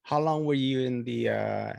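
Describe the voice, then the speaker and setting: A man's voice: medium pitch, measured pace, creaky, no room sound. A man hosting a podcast interview, asking questions casually with lots of fillers. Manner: relaxed, friendly filler-heavy questions. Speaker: podcast host.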